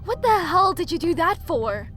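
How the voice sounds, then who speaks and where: A woman's voice: very high voice, sing-song, normal speed, fairly bright tone, faint music behind, heavily acted. A woman playing an indignant, idealistic rebel in an amateur anime-style audio drama.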